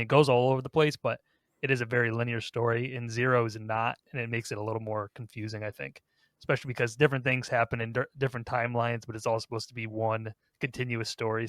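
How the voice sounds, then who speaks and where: A man's voice: mid-range voice, a bit bright, no echo. Man casually hosting, close dry sound. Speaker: podcast host. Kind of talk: casual podcast discussion of video games.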